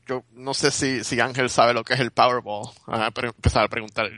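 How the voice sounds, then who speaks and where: A man's voice: mid-range voice, fairly even intonation, fairly quick, bright, forceful tone, dry recording. A man with a Puerto Rican Spanish accent co-hosting a podcast in casual, slangy, joking banter. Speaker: podcast co-host.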